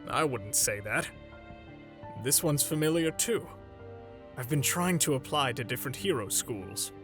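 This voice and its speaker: Man voicing an earnest, animated older hero mentor. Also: medium pitch, soft background music. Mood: pointed, reading aloud. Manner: theatrical, measured, earnest. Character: older male superhero mentor, earnest, grandly paternal, gently stern. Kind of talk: dramatic comic dub voice acting.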